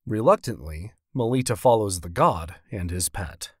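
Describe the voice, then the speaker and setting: Low, husky male narrator. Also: expressive, moderate pace, dry recording, studio quality. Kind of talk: scripted narration of a fantasy audio drama.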